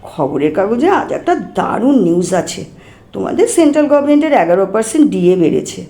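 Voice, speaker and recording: A woman voicing a fussy, teasing househusband and father in an audio story, with a brisk, chiding, familiar manner. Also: mid-range voice, sing-song, dark tone. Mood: coaxing, teasing.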